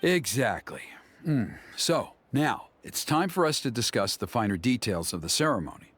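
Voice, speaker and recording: Animated, ceremonious game elder. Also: male voice, mid-range voice, slightly rough. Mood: pleased, businesslike. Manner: formal, measured, approving. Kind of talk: video game character acting.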